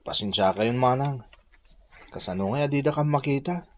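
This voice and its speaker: An actor with an Ilocano accent playing a meek, apologetic, put-upon poor husband in a radio drama. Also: male voice, mid-range voice, moderate pace, close to an everyday voice.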